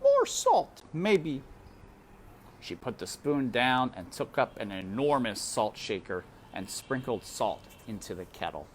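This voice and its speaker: A woman narrating a children's novel aloud with expressive, deliberate, dramatic phrasing. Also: very deep voice, a little hiss or hum.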